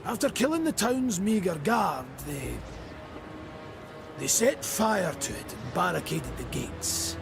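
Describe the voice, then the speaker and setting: A crude Scottish dwarf from a fantasy game, with a high voice full of gravel. He talks in an earthy, blustering, colloquial way. Music runs clearly behind him. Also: sing-song, slow, heavily acted. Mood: grim, remorseful. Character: crude, evasive dwarf clansman confessing uneasily. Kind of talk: scripted video game voice acting.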